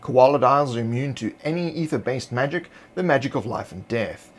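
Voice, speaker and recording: A man's voice: mid-range voice, animated, brisk. Man narrating a fantasy monster guide. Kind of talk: scripted narration, descriptive bestiary entry.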